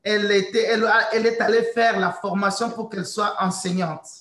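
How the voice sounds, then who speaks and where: A woman hosting an interview, introducing her guest in a slow, hesitant way and slipping into English now and then. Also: medium pitch, fairly even intonation, a bit bright, roomy sound. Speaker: interview host.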